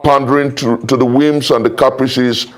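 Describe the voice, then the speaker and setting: A man's voice: mid-range voice, steady tone, moderate pace. A Nigerian man giving argumentative political commentary on a panel, emphatic and formal, repeating phrases for weight in legalistic language.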